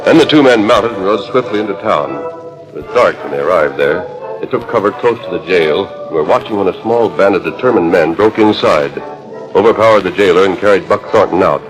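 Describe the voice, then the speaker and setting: A man's voice: low-pitched, a little fast, close to an everyday voice, low-quality recording. A man narrating a western adventure in dramatic 1940s radio style. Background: music.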